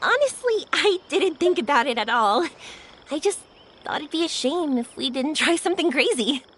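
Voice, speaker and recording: An actress voicing a cheerful, warm-hearted young woman in an anime-style video game, earnest, dreamy and expressive. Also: high-pitched, moderate pace. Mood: grateful, happy. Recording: background music under the voice.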